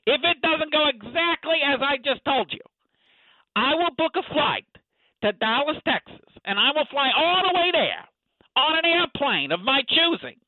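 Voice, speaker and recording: Pompous draft pundit, very high voice, swooping delivery, bombastic, theatrical, comedy radio, slightly muffled. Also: male voice, very animated, normal speed, dry recording. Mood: blustering. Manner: bombastic, theatrical, self-important. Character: pompous, excitable, clueless male football draft expert. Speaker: comic sports pundit guest on a radio show. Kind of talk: mock sports draft announcing.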